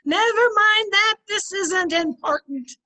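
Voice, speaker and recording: A woman's voice: very high voice, measured pace, bright, forceful tone, exaggerated voice. A woman playing a patient, prim and practical wife in old-time radio comedy style, politely correcting and pleading.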